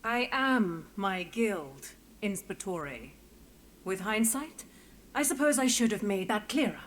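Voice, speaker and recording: An actress playing a proud, coolly formal marshal in a game, speaking in a deliberate, pointed way. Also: female voice, medium pitch, lively intonation, slight background noise. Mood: hurt, cold. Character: proud female marshal, wounded, coolly formal guild loyalist.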